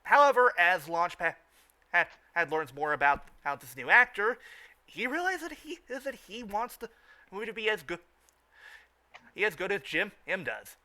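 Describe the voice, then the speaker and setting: Male fan-show host: higher-pitched, sharp bright tone, stumbling repetitive delivery, informal recap style. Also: big pitch swings. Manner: halting, repeats and restarts phrases.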